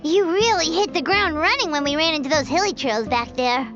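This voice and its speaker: A woman voicing a tiny, childlike fairy companion in a video game, squeaky, chatty, excitable and cheeky. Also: fairly quick, dull audio, over music. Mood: curious, teasing. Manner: exclaiming, chatty, refers to herself in third person.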